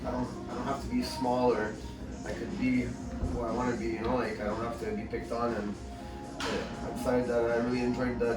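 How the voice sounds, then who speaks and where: Guy, chill and teasing, lots of uhs, far off the mic, loud music behind. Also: mid-range voice. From Quebec. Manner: relaxed and teasing, with many "uh" pauses. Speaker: guest, gym owner. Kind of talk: casual interview. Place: office in a gym. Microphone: no microphones, voice picked up from a distance. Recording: echoey room, voice picked up from afar.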